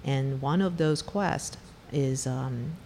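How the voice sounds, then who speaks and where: Woman from Taiwan, low voice, candid storyteller, faint room noise. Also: takes it slowly, mellow, in a large hall. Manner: candid, storytelling, gives blunt advice. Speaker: venture investor, interview guest. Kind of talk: conversational interview.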